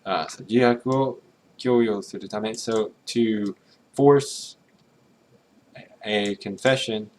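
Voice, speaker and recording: Male streamer, lowish voice, tentative musing commentary, faint hiss and typing in the background. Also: slow pace, pauses often. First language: English. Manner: musing, tentative, mutters Japanese readings to himself. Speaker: streamer translating a game. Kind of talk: casual thinking-aloud commentary.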